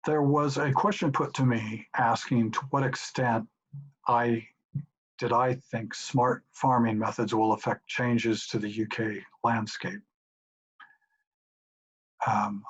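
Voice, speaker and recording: A middle-aged professor calmly explaining his answers to questions sent in by an audience. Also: medium pitch, breathy edge. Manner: measured, explanatory. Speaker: professor and institute director. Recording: dull lo-fi home recording.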